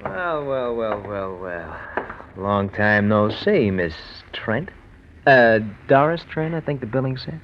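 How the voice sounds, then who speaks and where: A menacing small-time crook in a vintage radio drama. He speaks with mocking deliberation at an average pitch, muffled by an old, faintly noisy recording. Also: animated. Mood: mocking menace. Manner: mocking, drawn-out, deliberate phrasing. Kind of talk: 1940s radio drama acting.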